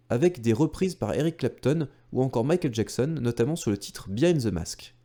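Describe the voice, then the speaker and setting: Animated, mellow-voiced male gaming narrator. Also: mid-range voice. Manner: enthusiastic, addresses viewers directly. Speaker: video creator and host. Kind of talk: scripted retro gaming narration with casual asides.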